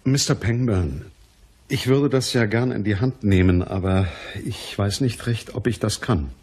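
An actor playing a matter-of-fact, weary private detective in a radio crime drama, with a dry, measured manner. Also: male voice, on the low side, a little mellow, husky. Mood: measured, businesslike.

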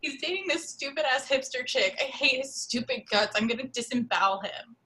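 A woman's voice: sits high, lively intonation, brisk, sharp tone. A woman reading the part of a jilted, vengeful seventeen-year-old girl in an amateur script read, indignant and dramatic. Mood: furious ranting. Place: home room, video call.

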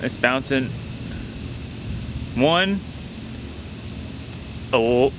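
Young man teasing playfully, lively pitch. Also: extremely slow. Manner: playful teasing, trash talk. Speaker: fishing vlogger, co-host. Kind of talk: casual on-camera vlog chat. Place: outdoors by a lake, then inside a house. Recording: noisy camera audio.

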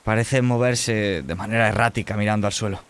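A man narrating a tabletop horror game as game master, measured and descriptive. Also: lowish voice, fairly even intonation, clear, resonant tone.

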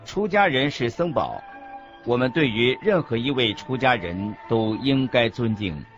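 Music plays behind a dull recording of an earnest Buddhist master acted by a man in a radio drama. He teaches didactically in measured phrases, with lively rises and falls at a medium pitch. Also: close to an everyday voice. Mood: earnest, instructive. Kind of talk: scripted radio drama dialogue, expository. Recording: dull lo-fi audio with background music.

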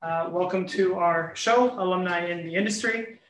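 A woman's voice: on the low side, moderate pace. A woman hosting a campus talk show, welcoming the audience with lots of fillers. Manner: many "uh" fillers, loose. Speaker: event host. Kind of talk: welcoming introduction. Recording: echoey classroom, faint noise.

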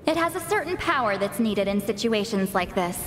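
A woman voicing a proud, stern, teasing granny high priestess in a video game, theatrical and scolding. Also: lively intonation, fairly quick, lightly acted. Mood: brisk, assured. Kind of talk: English game voice acting. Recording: long reverb, game music behind.

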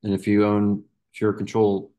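A man's voice: fairly low pitch, monotone, moderate pace, dark tone. A young man on a video call, a student tentatively reasoning aloud through practice exam questions. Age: young adult. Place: indoor room on a video call.

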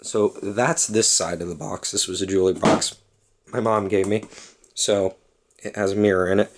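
A young man casually showing pens on a desk at home, rambling and correcting himself, slightly hoarse. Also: sits low, fairly even intonation, moderate pace, heavy background noise. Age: young adult. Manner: rambling and self-correcting, lots of ums. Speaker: vlogger. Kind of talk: casual show-and-tell talk.

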